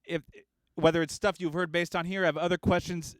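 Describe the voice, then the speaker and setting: Man, studio livestream host, rambling casual chat, smooth clean voice, dry close sound. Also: sits high, brisk, no echo. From Kansas. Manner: relaxed, rambling, chatty. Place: recording studio.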